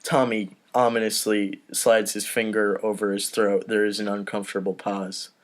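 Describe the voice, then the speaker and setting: A man's voice: fairly low pitch, level tone, normal speed. A man narrating stage directions in an informal comedy table read. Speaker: podcast performer reading stage directions.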